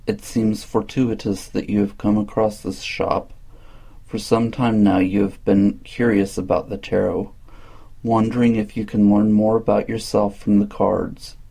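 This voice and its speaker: A man with a deep-set, fairly dark voice reads a guided meditation in a calm, measured way. His delivery stays very even and soothing, with a husky, gravelly grain to it. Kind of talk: slow scripted guided meditation narration.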